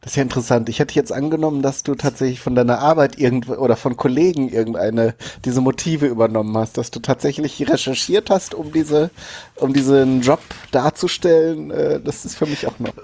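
A man's voice: mid-range voice, fairly quick, warm tone. A man on a podcast panel, commenting in casual conversation. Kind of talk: casual discussion.

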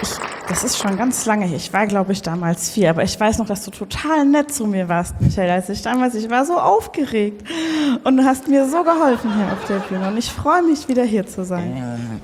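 A woman playing an excitable, earnest grown-up child star, gushing and rambling naively in an improvised audio play. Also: mid-range voice, normal speed, close to an everyday voice. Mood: touched, excited. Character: excitable, earnest grown-up former child talent-show contestant. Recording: very echoey hall, clear background noise.

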